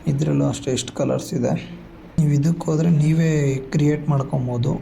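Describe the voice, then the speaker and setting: Mellow, gravelly man explaining a gadget. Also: fairly high pitch, steady tone, quick pace, slight background noise. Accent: Kannada. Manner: explanatory, mixes English tech words into speech. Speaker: vlogger demonstrating a gadget. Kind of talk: casual step-by-step product walkthrough.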